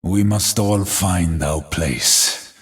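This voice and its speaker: Battle-scarred game warrior: low, very husky, solemn, defiant, heroic declamation. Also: male voice, very slow, exaggerated voice. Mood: solemn, reflective. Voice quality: husky, rough-edged. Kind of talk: heroic video game voice acting.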